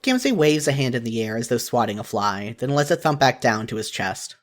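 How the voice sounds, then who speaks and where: A man narrating a scripted story in the second person with an expressive storytelling delivery. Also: mid-range voice, fairly quick.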